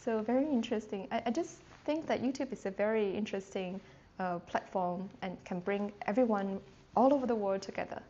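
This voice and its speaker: A woman whose first language is Chinese talks through a live beginner lesson, loose and chatty, with plenty of fillers and self-corrections. Her voice sits at a middling pitch, and the recording is a little dull with a faint hiss underneath. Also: fairly quick, dark tone. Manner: chatty, many fillers and restarts. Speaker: language teacher. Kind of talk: live-streamed casual lesson with chat questions. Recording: slightly dull sound with faint noise.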